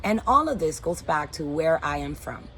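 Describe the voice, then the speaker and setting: A woman's voice: low-pitched, big pitch swings, normal speed, bright, forceful tone, a little hiss or hum. A woman in her forties from the Dominican Republic, speaking in an earnest, upbeat way about her work as a teaching artist, recorded on a phone in a backyard. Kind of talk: personal statement to camera. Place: backyard under a canopy, outdoors.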